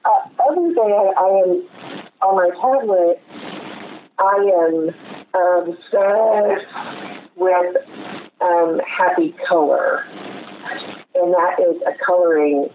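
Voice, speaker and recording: A man's voice: high voice, very animated, takes it slowly, long pauses. A man chatting casually as a podcast co-host in a rambling, chatty way, with some casual swearing. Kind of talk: casual chat. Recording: tinny phone-like line with clear noise.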